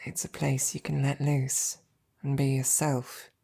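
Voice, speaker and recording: A woman playing a devoted, self-doubting female royal knight in an intimate roleplay audio, speaking in a hesitant, informal, tender way with a low, gravelly voice. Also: level tone, measured pace, dark tone, full character voice. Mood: curious, gentle.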